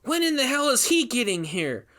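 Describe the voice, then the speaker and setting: A man voicing a defiant captive woman in a horror story, sharp and confrontational. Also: extremely high pitch, big pitch swings, moderate pace, voice pushed up, heavily acted. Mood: defiant fury. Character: defiant, fiery young woman held captive. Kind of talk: storybook character voice in horror narration.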